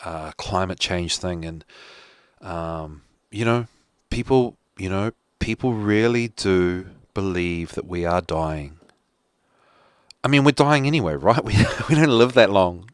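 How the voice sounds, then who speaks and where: A man's voice: low-pitched, animated, measured pace, no room sound, clean studio sound. A man with a New Zealand accent hosting a casual solo talk show, rambling with lots of fillers and restarts. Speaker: podcast host.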